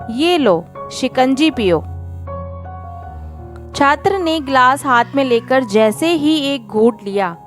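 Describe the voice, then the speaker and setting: Female narrator for moral tales, higher voice, slow deliberate phrasing, faint music underneath. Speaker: storyteller, channel narrator. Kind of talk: scripted moral-story narration.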